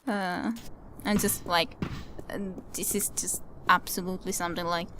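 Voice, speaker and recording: A woman's voice: on the low side, flat delivery, moderate pace, bright, forceful tone, a little hiss or hum. A woman giving casual game commentary, musing aloud hesitantly and repeating her words. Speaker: let's play commentator.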